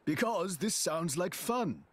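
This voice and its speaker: A roguish game adventurer. He is unhurried and very varied in pitch, with a breezy, teasing drawl. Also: animated, slightly rough, heavily acted. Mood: wry.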